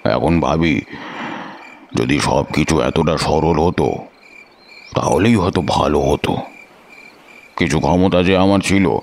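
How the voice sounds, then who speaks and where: A man playing a gravelly aging tantric storyteller. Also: rumbling low, slight background noise, full character voice. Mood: reflective. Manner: slow, musing, old-fashioned speech. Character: aging male tantric storyteller, weary and reflective. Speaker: storyteller recounting his past. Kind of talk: audio drama narration and dialogue.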